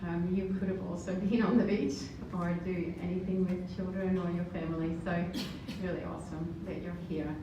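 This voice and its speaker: A woman's voice: fairly low pitch, fairly even intonation, normal speed, few pauses, dull audio. A middle-aged woman from the Netherlands gives a motivational business presentation to a live audience. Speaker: business speaker. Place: roomy live event venue. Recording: echoey, distant, noisy room recording.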